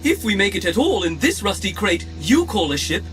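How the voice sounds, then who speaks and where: An actor playing a fussy, haughty, malfunctioning protocol droid in an audio drama, with a prissy, pompous, theatrical delivery. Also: male voice, sits high, very animated, fairly quick, a bit bright. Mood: mocking. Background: music.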